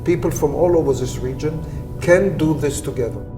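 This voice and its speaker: A man speaking slowly and reflectively about science and cooperation in a documentary interview. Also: a little high. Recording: roomy sound with music bed.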